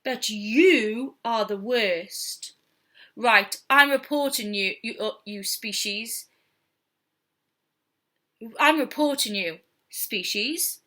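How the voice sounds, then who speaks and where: A woman acts out a furious television wildlife man from a children's storybook. The voice is high and bright, with slow, blustering emphasis on every scolding phrase. He sounds thoroughly indignant and huffy. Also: heavily acted.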